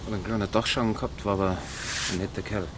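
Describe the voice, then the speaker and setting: A man's voice: fairly low pitch, steady tone, racing, background noise, close to an everyday voice. An actor playing a down-to-earth local policeman with a Bavarian accent, terse and colloquial, in a radio crime drama. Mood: wry, subdued.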